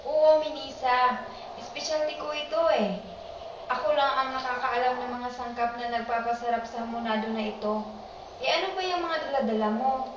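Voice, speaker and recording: A woman's voice: high-pitched, moderate pace, noisy background, lightly acted. Woman acting fussy older nanny, distant roomy sound. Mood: chatty, curious. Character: fussy, caring older female household nanny. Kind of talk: amateur Filipino radio drama acting. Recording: roomy, distant, dull sound under loud music.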